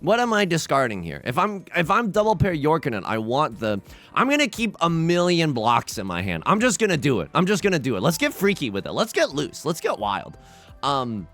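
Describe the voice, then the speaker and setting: A man commentating his own tile game with a lively, swooping delivery, his pitch leaping around as he jokes at his own expense. He drifts between muttered, low-key asides and sudden excited bursts, rambling and restarting his sentences as he thinks aloud. Faint music sits behind him. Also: a little fast. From United States. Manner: playful, self-mocking banter; swings from hushed asides to excited outbursts. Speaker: let's play host. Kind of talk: casual gameplay commentary.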